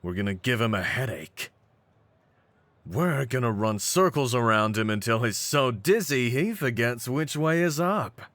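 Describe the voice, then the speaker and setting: A man voicing a cocky, brawny pit-fighting champion in a roleplay audio, with a deep voice and streetwise, mocking swagger. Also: expressive, unhurried, fairly bright tone, dry recording. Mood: scornful, fired up. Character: cocky, brawny half-breed pit-fighting champion, hyping his partner. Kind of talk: scripted in-character roleplay audio.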